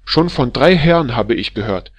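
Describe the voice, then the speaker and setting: A man voicing a shrill, furious middle-aged barber's wife who scolds and hurls insults at her husband in a storybook reading. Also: expressive, normal speed, no echo, natural voice. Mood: furious scolding.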